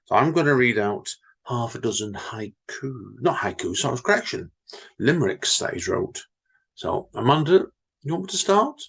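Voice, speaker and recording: A man reads stories and limericks in a husky voice. His pitch swings widely as he chats and teases his co-host between readings. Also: moderate pace. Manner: lively, chatty, wry teasing. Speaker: podcast co-host and reader. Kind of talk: reading poems and casual chat.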